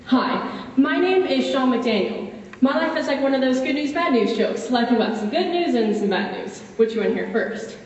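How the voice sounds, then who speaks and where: A woman performing a dramatic monologue as a wry, wistful fourteen-year-old boy, confiding in a conversational way with dramatic pauses. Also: high-pitched, animated, a little mellow, pitched above the performer's own voice. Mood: wry, chatty. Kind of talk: solo dramatic monologue, direct address. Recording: echoey hall, distant, muffled, faint noise.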